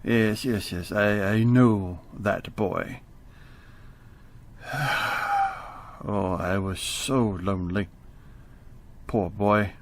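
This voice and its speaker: A man playing a frightened, remorseful elderly miser in a radio drama, stammering and halting with theatrical emotion. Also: fairly low pitch. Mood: sorrowful, regretful.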